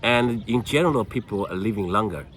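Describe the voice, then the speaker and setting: Measured older man. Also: on the low side, a bit bright. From China. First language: Chinese. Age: 60s. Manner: measured, halting, with frequent restarts. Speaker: guest, medical doctor. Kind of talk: reflective podcast conversation.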